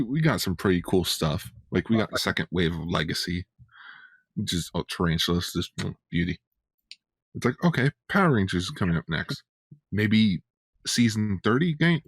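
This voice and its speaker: A man's voice: fairly low pitch, no echo. A man co-hosting a podcast in casual fan discussion. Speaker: podcast co-host.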